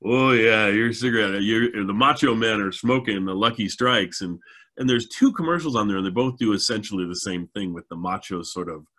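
A man's voice: lowish voice, fairly quick, pushed and bright. A middle-aged man hosting a casual chat over a video call, enthusiastic and effusive. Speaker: show host. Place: indoors at home, video call.

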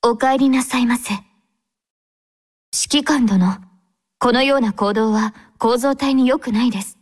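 Anime game role: young female android squad captain, devout, courteous, composed, lightly high-pitched. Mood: tender, wistful, then mildly reproachful. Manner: formal, gentle, composed. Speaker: game character voice actor.

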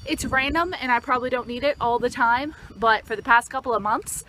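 A woman's voice: on the high side, fairly quick, sharp tone, slight background noise, rough recording. A young woman vlogging outdoors beside her car's open trunk, chatting casually with many ums and restarts, recorded on a camera close in front. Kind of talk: casual show-and-tell vlog. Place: outdoors by an open car trunk on a sunny street.

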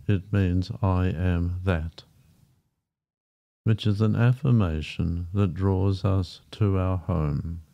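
A man guiding a meditation in a gentle, unhurried manner, leaving long pauses between short phrases. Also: low voice, gravelly. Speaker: meditation guide. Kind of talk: guided meditation, softly spoken. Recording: dull, muffled lo-fi sound. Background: background music.

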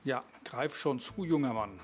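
A man's voice: mid-range voice, lively intonation, measured pace, over music. A man, presenting and narrating a vlog. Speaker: vlogger.

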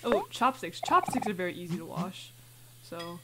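Chatty woman gamer over loud noise. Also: fairly low pitch, big pitch swings. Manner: chatty, thinking aloud with drawn-out reactions. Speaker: gaming video host. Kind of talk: casual let's play commentary, reading game text aloud.

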